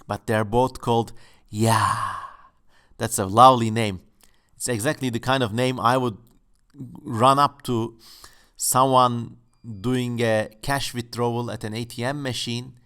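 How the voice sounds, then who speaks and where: A man speaking English hosts his channel from home, his voice sitting at an ordinary middle pitch. He muses along casually and playfully, with a tongue-in-cheek, joking manner. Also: a bit bright. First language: Turkish. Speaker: channel host. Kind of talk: casual exploratory commentary.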